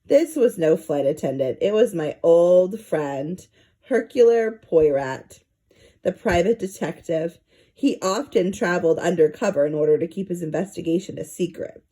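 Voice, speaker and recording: A woman reading a children's story aloud in an expressive narrating style. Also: lowish voice, moderate pace. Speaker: storybook reader.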